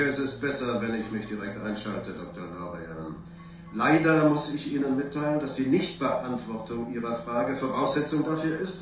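Male actor as guarded bureaucrat for radio play. Higher male voice, formal, heavily reverberant and distant. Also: fairly bright tone, lightly acted. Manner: stiff, bureaucratic. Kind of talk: German radio drama acting. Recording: very echoey hall, off microphone.

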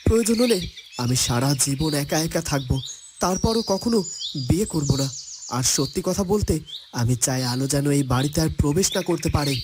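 Man playing a fast, aggrieved young cartoon husband. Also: fairly high pitch, animated, background noise, natural voice. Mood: hurt, resolute. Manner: emphatic, aggrieved. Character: hurt, resentful young husband and dutiful son. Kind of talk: cartoon family melodrama. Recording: clear birdsong and clicks behind.

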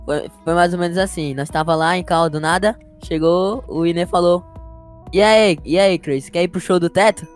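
A man's voice: high voice, steady tone, normal speed, soft background music. A young man on a group call who keeps chiming in to tell his side. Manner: chatty, keeps interjecting. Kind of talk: casual chat.

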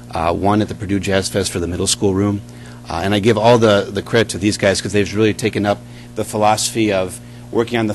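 A man in his thirties, a band director, speaking at a board meeting in a halting way full of fillers, into a podium microphone in a large boardroom. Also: on the low side, slight background noise. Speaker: middle school band director.

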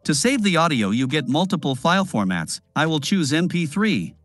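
A man giving step-by-step instructions in a screen-recorded software tutorial voice-over. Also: fairly high pitch, moderate pace, faint music behind. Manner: instructive, lively intonation.

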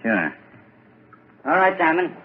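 An actor playing a plain-spoken, matter-of-fact police constable with a husky voice, in 1940s radio drama style. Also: male voice, a little high, very animated, slow, a little hiss or hum. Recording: dull old radio broadcast.

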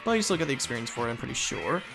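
Male let's play host, mid-pitched, casual and goofy, rambling commentary with game music behind. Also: very animated, racing, creaky. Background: video game music.

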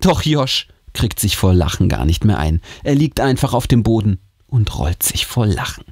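A man's voice: lowish voice, big pitch swings, moderate pace, darkish tone, husky, dry recording, clean studio sound. A man reading a scary story aloud as host, in a theatrical, suspenseful audiobook manner.

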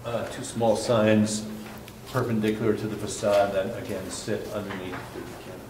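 A man, an architect, presenting his project at a public hearing, halting with many fillers and restarts, recorded from afar in a large conference room. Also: fairly low pitch, steady tone, far from the mic. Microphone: far room mic. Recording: echoey room from afar, background noise.

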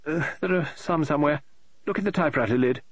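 A man's voice: on the high side, fast, fairly bright tone, husky, pitched above the performer's own voice. A man voicing a scatterbrained middle-aged woman novelist in an audiobook, fretful and muttering, exclaiming in despair. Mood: fretful, scattered. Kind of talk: audiobook character voice.

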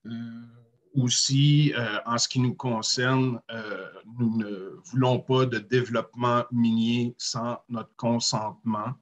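A man in his forties with a Quebec French accent, speaking slowly on a video call panel with many pauses. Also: medium pitch, steady tone, dull audio. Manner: measured, many hesitant "euh" pauses. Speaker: First Nation panelist. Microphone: webcam on a video call.

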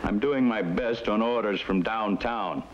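An actor playing an irritable middle-aged American police lieutenant, gruff and commanding, in a 1950s TV crime drama. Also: male voice, on the low side, measured pace, lightly acted. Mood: irritated. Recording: muffled, noisy old TV film sound.

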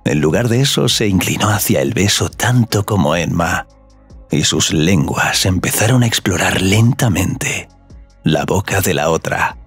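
A man's voice: low-pitched, lively intonation, a little slow, slightly rough, faint music behind. A man narrating a scripted audio story.

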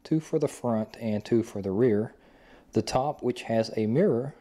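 Young male host, mellow tone, plain step-by-step how-to read. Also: medium pitch, unhurried. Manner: plain, matter-of-fact explaining. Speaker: host of a review channel. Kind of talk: instructional product assembly voice-over.

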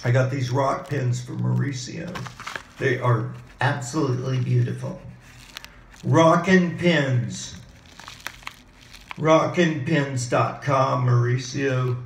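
A middle-aged man who is hoarse and losing his voice, rambling excitedly from topic to topic in a home video, talking into a desk mic on a boom arm in his living room. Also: slow pace, pauses often, slight background noise. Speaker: vlogger. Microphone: desk mic on a boom arm, webcam framing. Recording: roomy home echo.

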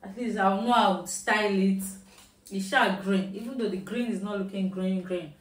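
A woman's voice: mid-range voice, normal speed. Nigerian woman, Yoruba accent, casual haul-vlog chat, recorded in an echoey room from a distance. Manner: chatty, mixing in Nigerian Pidgin phrases. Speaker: shopping haul vlogger. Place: at home, indoors. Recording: roomy, mic at a distance.

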